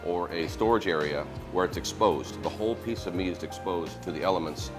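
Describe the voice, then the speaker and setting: A man in his sixties from Montana with an American accent, a chef explaining beef aging in a relaxed, halting way. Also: low-pitched. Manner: relaxed, repeats words as he explains. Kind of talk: casual interview. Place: commercial kitchen butchery room, indoors. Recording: music bed under the voice. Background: background music.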